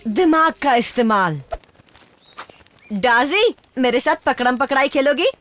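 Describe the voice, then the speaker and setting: A woman voicing a confident, teasing human boy in an animated cartoon dub. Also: high voice, a little slow. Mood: playful. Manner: teasing, cocky.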